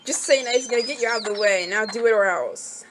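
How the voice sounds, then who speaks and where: Woman, let's-play commentary, excitable, talks to characters, game music behind. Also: on the high side, fast talker. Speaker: gameplay commentator.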